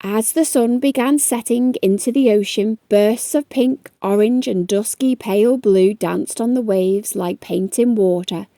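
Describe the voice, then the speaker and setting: A woman's voice: mid-range voice, unhurried, hardly any pauses, dark tone, no room sound, studio quality. A woman reading aloud to young children as a storytime host, coaxing them along with questions. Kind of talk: children's read-aloud narration.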